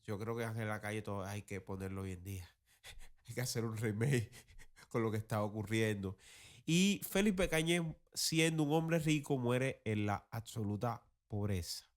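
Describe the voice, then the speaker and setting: A man with a Cuban accent and a fairly low voice talks as a host. He explains things informally, in a discursive, emphatic, teacherly way. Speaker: podcast host. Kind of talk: informal explanatory talk on radio history.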